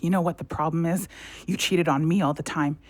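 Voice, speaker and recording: An actress playing a defiant, hurt young wife in an audio drama, with a biting, sarcastic manner. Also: female voice, low-pitched, steady tone, a little fast. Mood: defiant goading.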